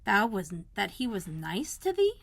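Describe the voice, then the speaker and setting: A woman's voice: mid-range voice, very animated, normal speed, vocal fry. Woman voicing an anxious young storybook housemaid. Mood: amazed. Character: anxious, plain-spoken young Yorkshire housemaid, easily alarmed.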